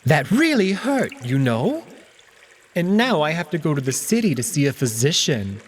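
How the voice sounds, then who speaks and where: A man's voice: a little high, very animated, normal speed, slight background noise, voice pushed up. A man voicing a haughty, wounded male cat courtier in a visual novel, speaking primly and formally with a guarded, peevish air. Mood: peeved, aggrieved. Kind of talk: visual novel character acting.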